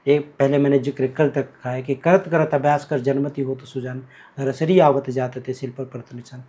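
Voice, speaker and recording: A man's voice: mid-range voice, steady tone, normal speed, dark tone. A man from Bihar, a guest teacher in a podcast conversation, explaining verses emphatically and switching between Hindi and Marathi. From Bihar, India. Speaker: guest teacher, academy cofounder.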